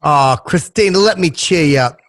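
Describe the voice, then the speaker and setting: Guy doing a high creaky goofball podcast host, boastful and crude, rambles super earnestly. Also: normal speed, fairly bright tone, slight background noise. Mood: bumbling, earnest. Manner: mock-earnest, bumbling, rambling. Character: crude, boastful comic oddball host persona named Chip. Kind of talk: comedy banter with sing-song bits.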